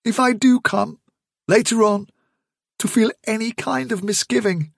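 A man voicing a small male rabbit who speaks quietly and firmly. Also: very high voice, moderate pace, dry recording, studio quality, voice pushed up. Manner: quiet, soft, firm.

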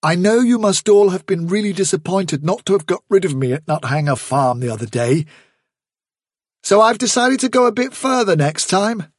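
A man voicing a young male rabbit leader who reasons calmly and persuasively with the others. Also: high-pitched, moderate pace, no echo, studio quality, voice pushed up. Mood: earnest. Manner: earnest, reasoning, steady.

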